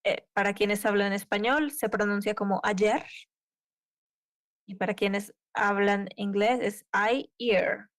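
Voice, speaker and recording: A woman's voice: mid-range voice, steady tone, takes it slowly, no room sound, clean studio sound. A woman interpreting a conference tech talk live, keeping pace with occasional cut-off restarts. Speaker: simultaneous interpreter.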